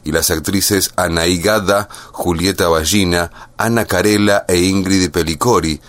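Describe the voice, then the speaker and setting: Low-voiced man narrating, very dry sound. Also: fairly even intonation, measured pace, no room sound. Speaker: radio announcer. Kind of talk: scripted informative narration. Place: dry studio voice-over.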